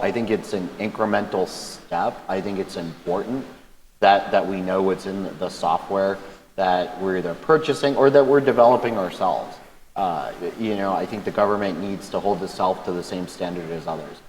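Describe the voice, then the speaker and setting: A man with a loud voice speaking on a conference panel, talking conversationally with frequent restarts and repeated words. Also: low-pitched, normal speed, slight background noise. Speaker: government panelist. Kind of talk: panel discussion. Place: conference hall. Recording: echoey hall with faint ambience.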